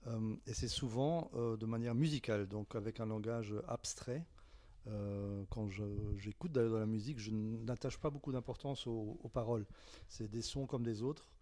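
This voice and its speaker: Non-native French-speaking man, mellow and hesitant. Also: on the low side, normal speed. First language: not French. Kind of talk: round-table discussion.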